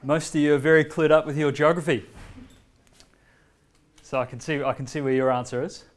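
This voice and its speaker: A man, giving a casual workshop demonstration in a meeting room with many fillers and pauses. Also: on the high side, steady tone. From New Zealand. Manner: standing, walking between screen and laptop. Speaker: presenter demonstrating a learning tool. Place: bright medium-sized meeting room with a screen, indoors. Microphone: far camera.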